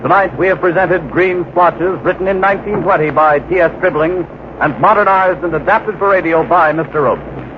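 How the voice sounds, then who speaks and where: Male announcer, rather high voice, old-time radio credits, dull noisy recording. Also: animated, normal speed.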